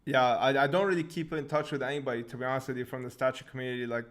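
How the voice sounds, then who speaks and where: A young man from Syria who grew up in Canada, hosting a casual live question-and-answer stream, relaxed and quick to laugh. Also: medium pitch. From Syria, raised in Canada. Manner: relaxed, laughs often, restarts words. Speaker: channel host answering live chat questions.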